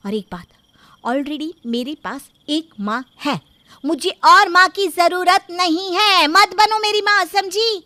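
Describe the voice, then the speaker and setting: A woman voicing a resentful, insolent young daughter-in-law in a story, scornful, sharp and accusing. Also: extremely high pitch, slow, pauses often, pitched above the performer's own voice, full character voice. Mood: angry, defiant.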